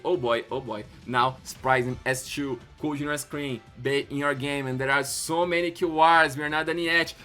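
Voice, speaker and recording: A man from Brazil with a Brazilian Portuguese accent, hosting an enthusiastic collector commentary and jumping louder and higher when thrilled. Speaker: YouTube channel host.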